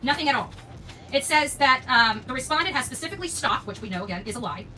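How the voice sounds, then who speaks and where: A woman's voice: sits high, expressive, quick pace, pushed and bright. A woman livestreamer from the United States, indignant and emphatic, repeating herself for stress as she comments and reads documents aloud. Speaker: YouTube channel host. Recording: distant mic, noticeable background noise.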